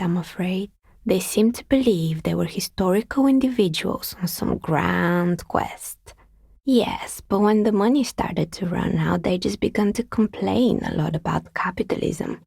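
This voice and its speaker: A woman playing a skeptical, world-weary artisan in a video game, with dry, sardonic and politely measured delivery. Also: fairly low pitch, vocal fry, warm tone, husky, no echo, exaggerated voice. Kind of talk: video game dialogue acting.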